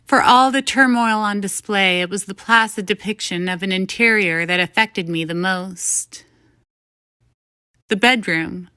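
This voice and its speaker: A woman's voice: medium pitch, bright, forceful tone, clear, resonant tone, no echo, studio quality. A woman reading a first-person short story as scripted narration. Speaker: story reader.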